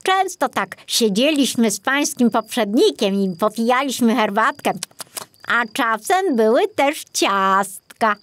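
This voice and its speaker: A small, cheeky rat from a children's story, acted by a woman. He is greedy and pitched high, with a bouncy, animated range. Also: a little slow. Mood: hinting.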